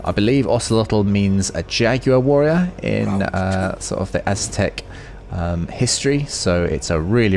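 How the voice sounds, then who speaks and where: Low-voiced man commenting on games. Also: slight background noise. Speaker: gaming video host. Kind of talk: casual off-the-cuff gameplay commentary.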